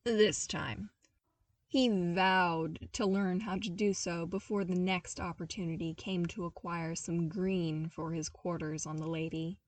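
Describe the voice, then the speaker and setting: A woman reading a story aloud in an expressive, scripted narration style. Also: fairly low pitch, unhurried, recorded from a distance. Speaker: podfic narrator reading fan fiction.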